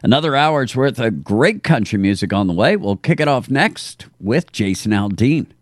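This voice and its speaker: Brief: male radio DJ, easy announcing, lively pitch, a little off-mic. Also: moderate pace, no echo, clean studio sound, soft background music. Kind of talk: radio show links.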